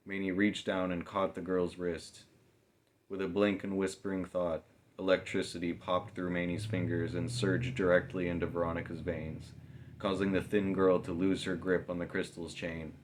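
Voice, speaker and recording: A man's voice: deep voice, slight background noise. A man narrating a fantasy novel as a scripted, dramatic audiobook reading. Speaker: author narrating own novel.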